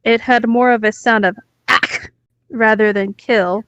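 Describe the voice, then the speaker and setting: A woman's voice: a little high, steady tone, a little slow, noisy background. A woman co-hosting a podcast, reading a story aloud and reacting casually, recorded close on a slightly dull microphone. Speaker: podcast co-host.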